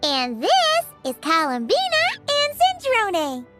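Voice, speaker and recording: A woman voicing a tiny, cheerful, childlike game companion who is excitable and easily exasperated, with a chirpy delivery. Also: very high-pitched, slow pace, over loud music, heavily acted. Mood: cheerful, excited. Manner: chirpy, expressive. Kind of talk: video game voice acting.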